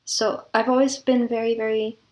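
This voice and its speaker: A woman's voice: on the high side, flat delivery, normal speed, darkish tone. A woman speaking casually as an interview guest, a composer talking about creative work. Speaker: interview guest, composer and audio drama producer.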